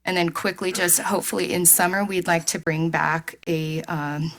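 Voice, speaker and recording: A woman presenting a staff report at a board meeting in a steady, businesslike way. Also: fairly low pitch, normal speed.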